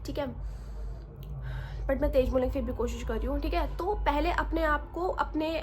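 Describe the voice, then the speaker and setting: A woman's voice: high voice, moderate pace. A woman giving earnest self-help advice in a casual vlog, with a sore throat and a slight cough, often checking that the viewer follows. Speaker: self-help video creator.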